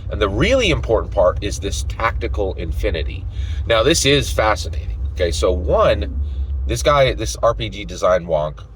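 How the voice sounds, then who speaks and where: A man's voice: lowish voice, very animated, moderate pace, slight background noise, poor audio. A man giving unscripted opinion commentary in an emphatic way, repeating phrases and checking his points with short questions. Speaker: tabletop gaming commentator.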